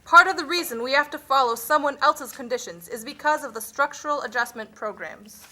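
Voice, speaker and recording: A woman's voice: high voice, brisk, sharp tone. A woman playing an earnest Nicaraguan who explains her country's hardship in a scripted puppet show.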